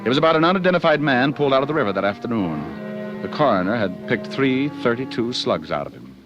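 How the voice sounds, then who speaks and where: An actor playing a slick, wisecracking private detective in 1940s radio style, glib, dry-witted and unruffled. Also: male voice, medium pitch, sing-song, fast talker, highs cut off, loud music behind the voice, lightly acted. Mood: wry narration. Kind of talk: 1940s radio acting, first-person narration.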